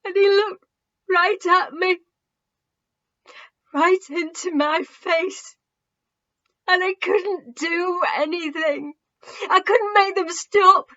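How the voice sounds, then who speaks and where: Woman acting an anguished young man, high and raw. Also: sharp tone, lo-fi sound, heavily acted. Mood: anguished, breaking down. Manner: impassioned, halting, emotionally raw. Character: anguished, guilt-ridden young man recounting a loved one's death. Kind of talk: audiobook character acting.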